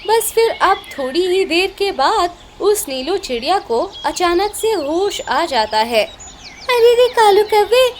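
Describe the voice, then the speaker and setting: High-pitched woman narrating a story. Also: expressive, a little slow, hardly any pauses, a little hiss or hum. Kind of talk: scripted children's story narration.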